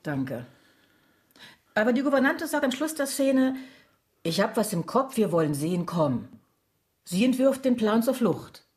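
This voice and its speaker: A woman's voice: fairly high pitch, sing-song, measured pace, pauses often, darkish tone. A woman voicing an earnest stage actress discussing her role.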